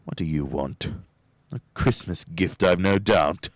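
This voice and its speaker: A man playing a cold, bad-tempered, miserly old businessman in a radio play, gruff and scornful with barking outbursts. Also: sits low, a little slow, no room sound. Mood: bitter, irritated. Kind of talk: radio play acting, 1930s adaptation.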